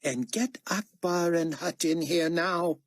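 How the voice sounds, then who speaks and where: A man's voice: on the high side, slow, no echo, studio quality, voice pushed up. A man playing a pompous, menacing world dictator in an audiobook, with clipped formal diction and sarcastic scorn. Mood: impatient, sardonic.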